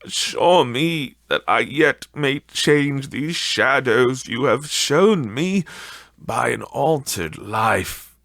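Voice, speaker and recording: Slow, pleading, repentant old miser played by a man. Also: medium pitch, animated, fairly bright tone, no echo, voice pushed up. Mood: desperate pleading. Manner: pleading, fervent, drawn-out delivery. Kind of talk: dramatic audiobook character acting.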